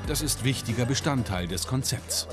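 A man's voice: medium pitch, expressive, moderate pace, over loud music. A man narrating a scripted magazine report as a television voice-over.

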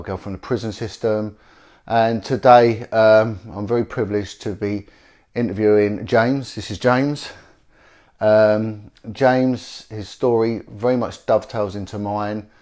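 A middle-aged man from with a slight cold, interviewing a guest in a living room, speaking haltingly with many fillers. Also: fairly low pitch, darkish tone. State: has a cold. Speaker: interviewer, former police detective. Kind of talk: sit-down interview. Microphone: lapel mic.

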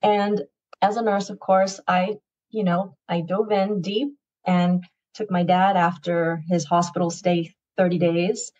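A woman in her fifties, a retired nurse whose first language is Tagalog, tells her wellness story in an earnest, measured way on a video call. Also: mid-range voice, darkish tone. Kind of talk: podcast guest story. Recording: muffled lo-fi sound.

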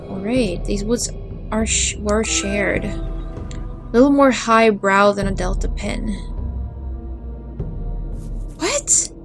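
A woman narrating her playthrough of a game, chatting, reading text aloud and reacting with quick startled exclamations. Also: medium pitch. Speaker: gaming video host.

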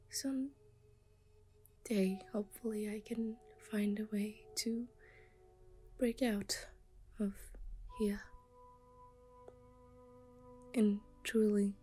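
A woman voicing an obsessive, self-aware anime schoolgirl in an ASMR roleplay, soft and often whispering, shy, hesitant and stammering as she pleads. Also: medium pitch, lightly acted. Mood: wistful, possessive.

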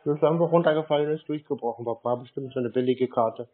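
A man chatting casually on a call, deadpan and laconic, sounding naive. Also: mid-range voice, quick pace. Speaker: prank scam-baiter playing a customer. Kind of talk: casual phone conversation. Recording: muffled narrow phone-like sound.

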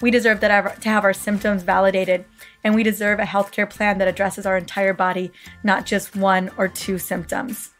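A woman hosting a podcast interview, her voice raspy and hoarse from a book tour, talking in an effusive, gushing way. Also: mid-range voice, level tone, normal speed, faint music behind. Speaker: podcast host.

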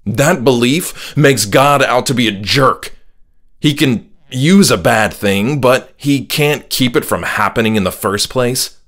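A man performs an embittered son in a horror audiobook, facing down his father. The delivery is slow, biting and accusatory, full of anger. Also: medium pitch, fairly bright tone, close to an everyday voice. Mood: bitter. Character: bitter, angry grown son confronting his father.

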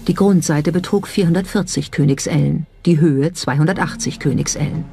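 Documentary narrator, mid-pitched and relaxed, scripted delivery, light music bed. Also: brisk, vocal fry. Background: background music.

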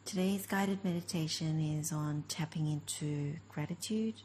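A woman leading a guided meditation as a teacher, speaking gently in short, unhurried phrases with long pauses. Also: sits low, steady tone, slight background noise, low-quality recording. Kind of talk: guided meditation, slow spoken instruction.

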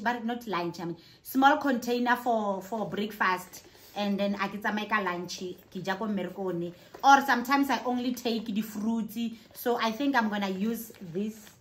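High-voiced South African woman vlogging. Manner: excited, expressive. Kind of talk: casual vlog chat mixing in another language. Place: living room and kitchen at home.

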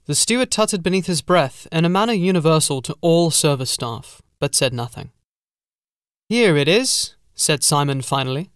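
A man's voice: on the high side, brisk, hardly any pauses, clean tone, dry recording, clean studio sound. A man reading scripted gothic horror narration as an audiobook narrator.

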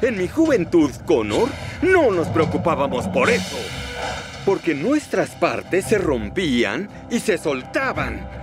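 Old steam engine, Latin American accent, cheeky cartoon orator, unhurried, swooping delivery. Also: male voice, fairly high pitch, wildly swooping pitch, over music, exaggerated voice. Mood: rousing. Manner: theatrical, exclamatory speechmaking. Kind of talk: animated children's cartoon acting.

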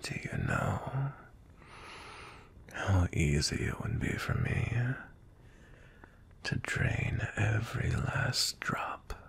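A man playing a cold, possessive, dominant vampire knight in an intimate ASMR roleplay, with a slow, breathy, menacing murmur. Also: low voice, huge pitch swings, a bit bright, heavily acted. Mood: seductive, menacing. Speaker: audio roleplay voice actor.

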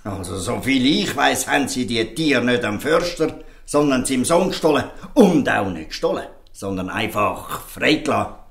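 A man's voice: mid-range voice, big pitch swings, moderate pace, creaky. An actor playing an earnest, defiant fisherman in a Swiss German audio drama, speaking emphatically and pleadingly. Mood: calm, pointed. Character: earnest, defiant fisherman defending outcast children. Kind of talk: audio drama dialogue in dialect.

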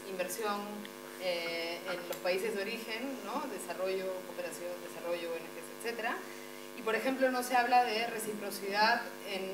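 Peruvian woman questioning a panel, echoey. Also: mid-range voice. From Peru. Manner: questioning, challenging, thinks aloud. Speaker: audience member asking a question. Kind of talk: question at a public debate. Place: meeting room. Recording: echoey room with mains hum.

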